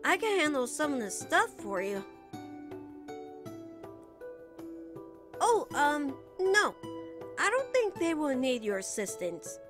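A woman's voice: high voice, big pitch swings, over music. A woman voicing a playful, teasing, fondly protective young bard boy in a giggly ASMR character roleplay. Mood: cheerful, covering. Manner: teasing, giggly, drawn-out phrases. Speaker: voice actor.

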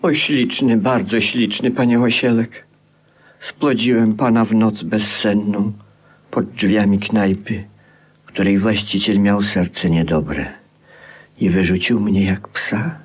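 A man's voice: on the low side, steady tone, takes it slowly, slightly rough. A man acting a fawning, pleading author in a 1950s radio play, theatrical and imploring. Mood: adoring, pleading. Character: grovelling, pleading author besotted with his own creation.

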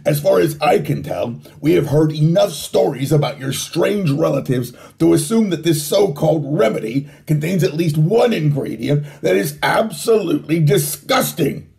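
A man's voice: on the high side, expressive, moderate pace, gravelly, hardly any pauses, dark tone. A man voicing a grumpy, sarcastic boy who grunts and complains in a children's story.

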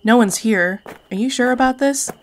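A woman's voice: fairly high pitch, normal speed, a little hiss or hum, lightly acted. A young woman playing a wary, bossy character in a comic dub, with a snappy, sarcastic edge. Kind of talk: animated comic dub acting.

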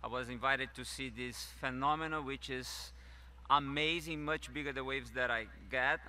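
A man's voice: medium pitch, steady tone, moderate pace, sharp tone. A man in his thirties with a Brazilian Portuguese accent, a native Portuguese speaker giving a statement as an invited guest. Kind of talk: on-camera interview statement. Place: open farm field outdoors. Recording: outdoor ambient noise.

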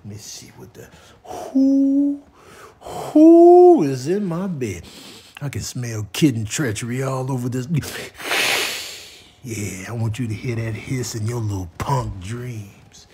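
Man as a menacing cat, suspicious, hushed, drawn-out threats, comic animal voiceover. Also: mid-range voice, animated, echoey room, a little hiss or hum, pitched below the performer's own voice. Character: menacing, suspicious cat plotting against a kitten.

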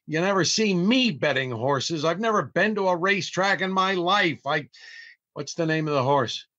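A man's voice: a little high, expressive, normal speed, pushed and bright. An older man playing a long-suffering, scolding family man in an old-time radio comedy, theatrical and exasperated. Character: exasperated, long-suffering grumbling husband and father. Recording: slightly dull online recording.

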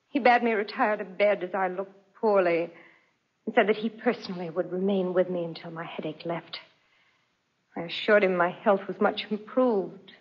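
An actress playing a guilty, anguished aristocratic wife in a formal, dramatic 1940s radio style. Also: female voice, on the low side, husky. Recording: dull, band-limited 1940s radio recording.

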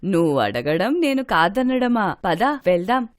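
A woman's voice: mid-range voice, big pitch swings, measured pace, no room sound, studio quality. Woman playing a nosy, greedy older mother-in-law. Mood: eager. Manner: prying, self-justifying.

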